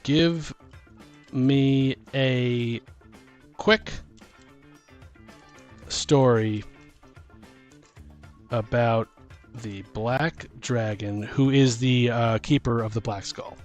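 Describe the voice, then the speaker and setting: A man co-hosts a casual gaming podcast at a slow pace. His delivery is halting and stumbles over words, in a medium-pitched voice, with music playing behind him. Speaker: podcast co-host. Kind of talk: casual chat about tabletop gaming, some reading aloud.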